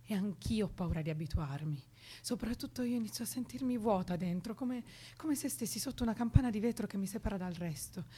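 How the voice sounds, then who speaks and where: A restless, guarded young woman in a naturalistic radio play. Her is colloquial and her talk intimate, teasing one moment and earnest the next, at a medium pitch. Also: lively intonation, a little fast, mellow, husky. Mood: weary, defiant. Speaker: radio drama actress. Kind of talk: naturalistic Italian radio drama dialogue.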